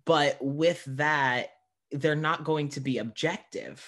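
A man hosting a podcast interview in a casual, informal way, with halting phrasing and many asides. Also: fairly high pitch, fairly bright tone.

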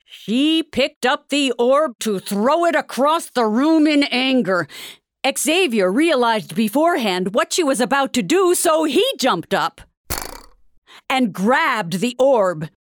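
A woman narrating a scripted audio drama like a storybook, with dramatic, deliberate delivery. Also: high-pitched, expressive, no room sound. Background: background music.

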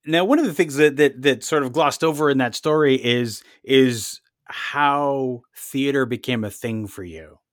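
A podcast host asking questions and reacting casually, with many halting restarts. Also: medium pitch, no room sound, studio quality. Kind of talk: casual interview conversation.

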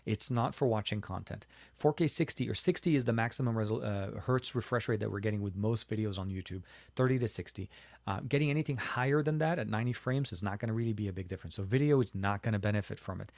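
A man's voice: fairly low pitch, fast, creaky, a little mellow, no room sound. A man from Lebanon hosting a casual live tech stream, answering viewer questions in a chatty way with frequent fillers and restarts. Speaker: tech livestream host.

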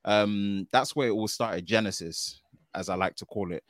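Lively man, low and dry. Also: normal speed, no echo. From United Kingdom. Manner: animated and slangy, often ends phrases with "man". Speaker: podcast guest, tech video creator. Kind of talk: casual podcast conversation.

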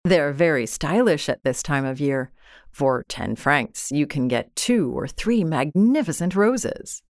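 A modest, practical husband from a classic storybook, acted out by a woman. He speaks in a lowish voice, patient and coaxing, in a very dry recording. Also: expressive, a little slow, dry recording. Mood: encouraging. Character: modest clerk husband, well-meaning, patient, practical man.

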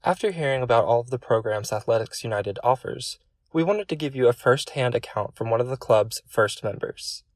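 A man's voice: mid-range voice, fairly quick, no room sound. Male narrator, scripted intro, dry close sound. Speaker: podcast narrator.

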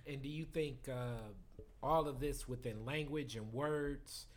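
A man hosting a podcast, asking his guest questions in a slow, thoughtful way. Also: mid-range voice. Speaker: podcast host. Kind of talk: interview questions.

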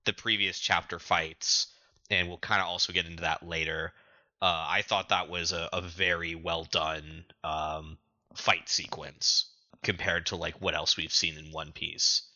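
Male podcast co-host. Deep, sharp-edged voice, stop-start casual storytelling, slightly lo-fi sound. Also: fairly even intonation, unhurried, no echo. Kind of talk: casual recap of manga chapters.

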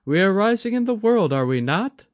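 A man voicing a young woman who keeps a shrine, bright, courteous and eager, in a high put-on voice. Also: big pitch swings, moderate pace, no echo.

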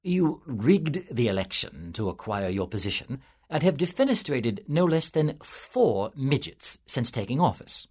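A man voicing a patient, weary male angel, speaking in a calm, measured, softly solemn way with a husky voice. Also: mid-range voice.

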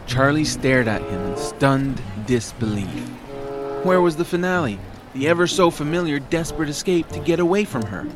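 A man's voice: fairly high pitch, expressive, moderate pace, over music. A man narrating a scripted comedy audio drama in a wry, dramatic storytelling manner.

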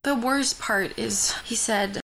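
A woman's voice: fairly high pitch, slow, gravelly, a bit bright, slight background noise, exaggerated voice, low-quality recording. An actress playing a melodramatic, aggrieved teenage schoolgirl in a modern audio drama. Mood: wounded, aggrieved.

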